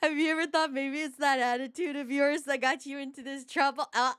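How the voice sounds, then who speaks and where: Young woman game streamer, casual and opinionated, notably high voice, very dry close sound. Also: fairly even intonation, a little fast, a bit bright, no room sound. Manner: informal, opinionated. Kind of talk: casual playthrough commentary, reacting and reading aloud.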